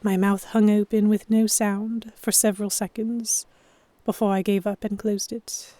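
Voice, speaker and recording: A woman's voice: medium pitch, level tone, a little slow, mellow, no echo. Woman narrating, close dry sound. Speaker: narrator and lead heroine. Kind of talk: first-person scripted story narration, dramatic audiobook.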